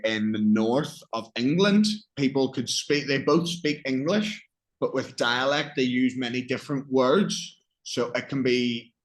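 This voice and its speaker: A man with a Northern Irish accent talks a student through English vocabulary, pausing to give examples and ask questions. His pace is slow and unhurried, and his voice sits at a middling pitch. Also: a bit bright, rough recording. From Northern Ireland. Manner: patient, explains slowly with examples and questions. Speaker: English teacher. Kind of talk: one-on-one English lesson.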